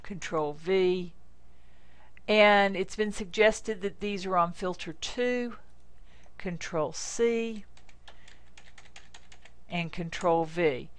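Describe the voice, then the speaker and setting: Woman, American accent, lowish voice, software tutorial narration, informal, short deliberate phrases, dry close recording. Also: clean tone, dry recording. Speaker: software demonstrator. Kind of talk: step-by-step screencast tutorial narration.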